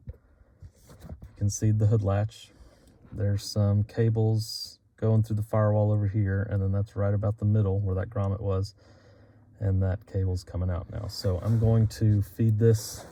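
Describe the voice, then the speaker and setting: A man casually talking through a hands-on install, halting and thinking out loud as he works. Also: fairly low pitch, steady tone. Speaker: DIY vehicle install presenter. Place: in and around a parked pickup truck. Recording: noisy vehicle sound with clicks.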